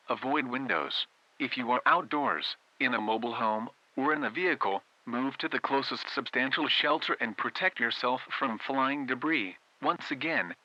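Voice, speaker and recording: A man's voice: mid-range voice, sharp tone, no echo, muffled recording. A man reading a scripted emergency weather warning as an announcer, in a formal, clipped manner. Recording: hissy, noisy broadcast audio.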